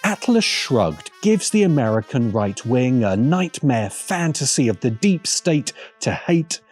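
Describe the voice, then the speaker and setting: A man reads an opinionated scripted essay with animated, swooping intonation. His delivery is emphatic and sardonic, and music plays behind his voice. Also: mid-range voice, measured pace. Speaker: video essayist.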